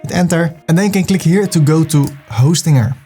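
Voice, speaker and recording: A man's voice: fairly high pitch, a little fast, mellow, slightly rough, soft background music. A man with a Dutch accent, from the Netherlands, giving a screen-recorded walkthrough step by step and reading the on-screen prompts aloud. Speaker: web tutorial host.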